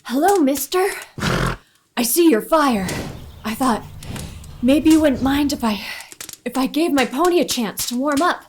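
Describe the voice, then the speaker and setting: A woman's voice: high voice, animated, measured pace, pauses often, background noise. A woman playing a young orphaned runaway boy, sulky and hurt, in an old-time Western radio play. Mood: polite, tentative.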